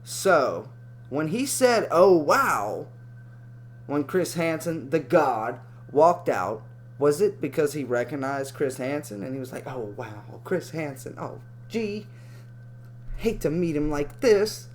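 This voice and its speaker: A man's voice: on the high side, very animated, slow, a little hiss or hum. A man giving casual, profane and sarcastic reaction commentary over a video clip.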